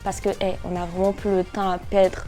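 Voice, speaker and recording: A woman of nineteen chatting casually to camera as a vlogger, in an animated, slangy way with English words mixed in. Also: lowish voice, normal speed, soft background music. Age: 19, nearly twenty.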